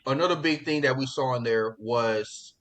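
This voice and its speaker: A man hosting a podcast in casual pop culture chat, talking in an excitable, slangy, profane way. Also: medium pitch, normal speed. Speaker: podcast host.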